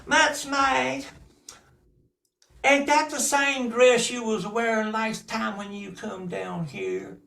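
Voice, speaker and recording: A man voicing sneering young girl cousins in a folk tale, high and mocking. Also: fairly even intonation, slow, gravelly, pushed and bright, heavily acted. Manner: mocking, shrill, laughing. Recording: roomy echo.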